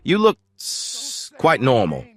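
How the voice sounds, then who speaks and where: A man voicing a calm, smooth-voiced cult leader who speaks down to a worried father. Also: medium pitch, takes it slowly, heavily acted. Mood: calm, amused.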